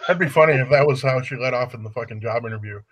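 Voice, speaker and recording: A man's voice: mid-range voice, very fast, slightly rough. A man joking crudely and laughing in casual podcast chat. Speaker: podcast co-host.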